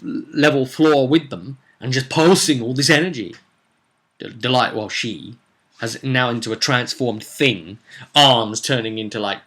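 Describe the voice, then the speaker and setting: A man's voice: mid-range voice, pushed and bright. A man telling the story of a tabletop roleplay session as game master, in a rambling, conversational way with repeated phrases.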